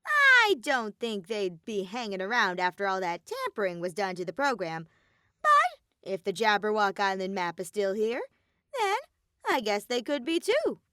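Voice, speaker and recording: A woman's voice: medium pitch, huge pitch swings, normal speed, sharp tone, no echo, clean studio sound. A woman voicing a mischievous, grumpy robotic bear villain with a flippant, cartoonish delivery, in a fan story reading. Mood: grumbling.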